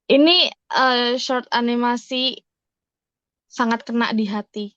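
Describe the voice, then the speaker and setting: A young Indonesian woman chatting casually as a podcast guest, often halting to search for her words. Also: fairly high pitch, fairly even intonation, a bit bright, smooth, dry recording, muffled recording. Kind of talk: casual chat.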